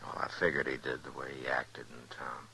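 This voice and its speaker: An actor playing a steady, courteous American lawman in a radio western, asking questions in a measured way. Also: rumbling low, vocal fry, heavily acted. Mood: quiet, grave. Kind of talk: 1950s radio western acting. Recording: noisy old radio drama recording.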